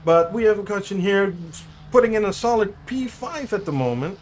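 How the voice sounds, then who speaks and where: A man's voice: high voice, lively intonation, moderate pace. Chatty Dutch-accented man commentating a race. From Netherlands. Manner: chatty, self-correcting, unsure of name pronunciations. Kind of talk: live sim racing commentary.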